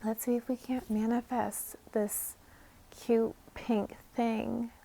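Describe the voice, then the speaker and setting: A woman's voice: fairly high pitch, level tone, slow pace, creaky, dark tone, poor audio. An American woman chatting casually to camera as a craft vlogger, informal and thinking aloud as she goes.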